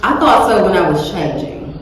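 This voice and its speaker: Casual woman vlogger, low-ish monotone, unhurried and rambling, a bit scratchy; long reverb in the room. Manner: chatty, rambling with many "like"s. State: slightly scratchy voice. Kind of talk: casual self-filmed vlog talk. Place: indoor room, cold. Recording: echoey room with long reverb, faint ambience.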